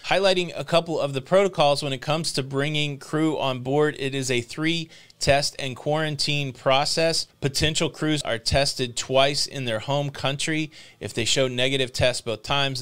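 A man in his forties giving a steady, matter-of-fact news update as a vlog host in a home studio. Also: medium pitch, clear, resonant tone. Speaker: cruise news vlogger. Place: home studio with purple lighting. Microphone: podcast mic in front.

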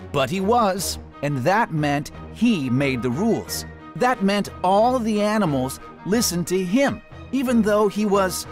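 Guy reading a kids book out loud, slow and dramatic, highish voice that moves around a lot. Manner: theatrical, deliberate emphasis. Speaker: professional voice actor reading aloud. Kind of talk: expressive children's storybook narration. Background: soft music.

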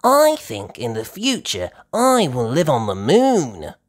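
A woman voicing an excitable, impatient little girl piglet in a storybook, with emphatic childish phrasing. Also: rumbling low, takes it slowly, voice pushed up, full character voice. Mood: eager, impatient.

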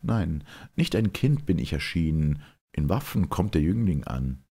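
A fiery, reckless winged youth declaims verse in a theatrical reading, performed by a man. The delivery is impassioned and proud, swinging widely in pitch, with a gravelly, husky edge. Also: very animated, a little slow, warm tone, full character voice. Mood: defiant.

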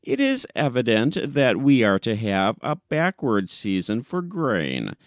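Guy reading an old funny short story, takes it slow, dry close sound. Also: medium pitch, huge pitch swings, no echo. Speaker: audiobook narrator. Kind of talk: scripted narration of a classic humorous short story.

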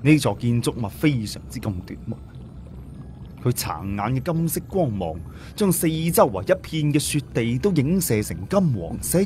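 A man's voice: mid-range voice, big pitch swings, moderate pace, darkish tone, soft background music. A man narrating a story in a dramatic audiobook style.

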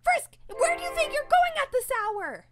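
A woman voicing a worried, motherly goat monster in a comic dub, speaking formally and gently. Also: very high voice, very animated, moderate pace. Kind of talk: amateur comic dub acting.

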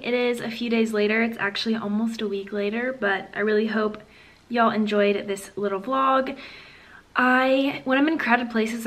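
A woman's voice: mid-range voice, a bit bright. A young woman, chatting casually to the camera as a vlogger from a room at home. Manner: chatty, easygoing, says "like" and "y'all". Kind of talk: casual vlog talk to camera. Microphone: camera on a desk at arm's length.

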